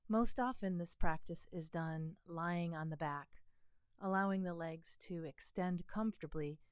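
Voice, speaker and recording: A woman gently guiding a body scan meditation in a calm, soothing manner, leaving pauses between phrases. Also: fairly low pitch, clear, resonant tone, dry recording. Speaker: meditation teacher.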